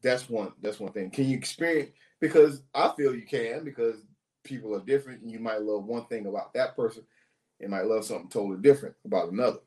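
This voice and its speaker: A man's voice: fairly low pitch, fast, a little mellow, reverberant. A man co-hosting a casual relationship talk show, joking with crude banter and asking questions of the listeners. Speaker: podcast co-host.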